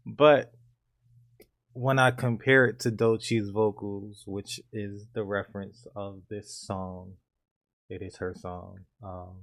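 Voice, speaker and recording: Man, deep low voice, flat delivery, unhurried, home studio tutorial talk. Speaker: home studio rapper explaining his mixing setup. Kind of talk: casual screen-share tutorial.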